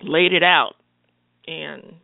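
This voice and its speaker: A woman co-hosting a call-in radio show, chatty and expressive, often laughing as she talks. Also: low voice, takes it slowly, gravelly, dry recording. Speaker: radio co-host. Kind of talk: casual radio banter. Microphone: phone line. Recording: phone-line call-in radio, dry.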